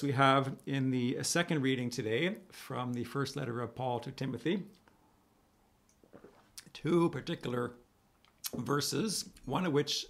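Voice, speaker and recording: A middle-aged man with a raspy voice, a priest giving a conversational video homily to the camera in a small room, reading scripture slowly. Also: medium pitch. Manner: conversational, animated hand gestures, reads scripture slowly.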